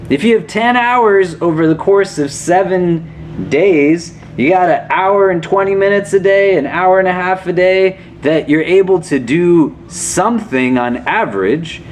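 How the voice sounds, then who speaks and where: Casual imam teaching a class. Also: male voice, sits high, unhurried, a little hiss or hum. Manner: casual, questioning, often asks "do you know?". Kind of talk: conversational religious lesson.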